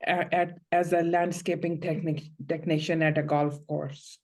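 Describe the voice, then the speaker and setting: Woman, lowish voice, steady explanatory answers, mock hearing role-play. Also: unhurried. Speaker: student playing a visitor client in a mock hearing.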